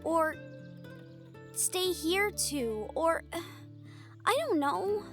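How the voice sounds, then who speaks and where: A woman's voice: very high-pitched, expressive, very slow, a bit bright, soft background music, exaggerated voice. A woman acting in an intimate audio roleplay as an anxious, tender young woman from a family of hunters, speaking earnestly and hesitantly. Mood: worried, earnest.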